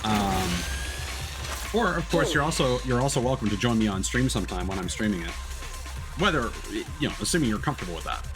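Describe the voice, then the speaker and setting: A man's voice: lowish voice, animated, brisk. A man giving casual live-stream gameplay commentary, explaining things conversationally and thinking aloud. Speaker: video game streamer. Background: game music.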